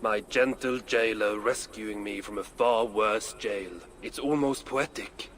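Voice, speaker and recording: An actor voicing a crude, ill-tempered old male dwarf with a gruff, sardonic way of talking in a video game cutscene. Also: fairly low pitch, fairly even intonation, measured pace, a bit bright, slight background noise. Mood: wry banter.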